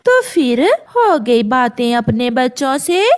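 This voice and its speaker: A woman voicing a menacing female eagle in a cartoon, with a taunting, drawn-out delivery. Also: high voice, sing-song, pitched below the performer's own voice, exaggerated voice. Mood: gloating, impatient.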